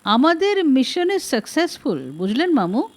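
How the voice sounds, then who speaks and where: Woman as an earnest young male detective, high-pitched. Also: big pitch swings, a little slow, heavily acted. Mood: earnest reporting. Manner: polite, explanatory. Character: earnest younger man, a detective reporting respectfully. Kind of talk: audiobook detective story reading.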